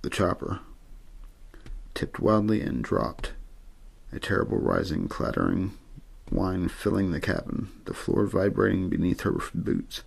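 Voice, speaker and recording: A man reading a story aloud as an amateur ASMR narrator, in a low-key, untheatrical way with the odd stumble. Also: very animated, unhurried, creaky, breathy edge. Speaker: amateur audiobook reader.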